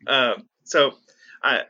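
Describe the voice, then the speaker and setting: A man from the United States hosting a casual podcast interview, upbeat and chatty, quick to laugh. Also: medium pitch, pushed and bright, husky, no echo, dull audio. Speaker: podcast host.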